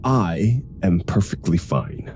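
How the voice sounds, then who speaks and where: A woman's voice: very deep voice, sing-song, slow, dark tone, a little hiss or hum, full character voice. A woman playing an exasperated, stubborn superhero in comedic audio roleplay, dry, grumbling and sarcastic. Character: exasperated, sardonic female superhero, stubborn hospital patient.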